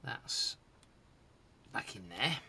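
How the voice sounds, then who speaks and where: A man's voice: very slow, sharp tone, a little hiss or hum. A man giving a casual hands-on repair commentary, muttering to himself in short fragments as he works. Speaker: host of a retro tech repair channel.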